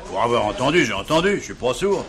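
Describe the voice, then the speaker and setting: An actor playing a streetwise, evasive bistro owner in a radio drama, slangy and grumbling. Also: male voice, medium pitch, very animated, quick pace, crowd noise, lightly acted. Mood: evasive, indignant. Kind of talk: French radio drama acting. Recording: clearly noisy recording.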